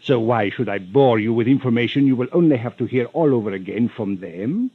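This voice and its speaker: Suave, husky radio villain, swooping pitch. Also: male voice, expressive, fairly quick, no echo. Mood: smooth, persuasive. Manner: florid, over-polite, stammering hesitations, chuckling. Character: suave, wheedling rich villain, unctuous and veiled in menace. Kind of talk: 1940s-50s radio drama acting. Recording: muffled old radio recording.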